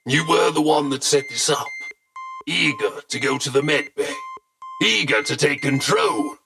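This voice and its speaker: A man's voice: on the high side, expressive, measured pace, creaky, music in the background. A man playing a haughty, short-tempered corporate nobleman in a sci-fi audio drama, imperious and commanding. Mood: commanding, suspicious.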